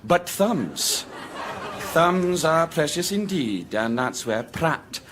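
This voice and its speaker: An actor playing a jovial, dandyish young Scottish secretary with a slyly sinister side, theatrical and insistent, in a radio comedy sketch. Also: male voice, on the high side, big pitch swings, slow, noisy background.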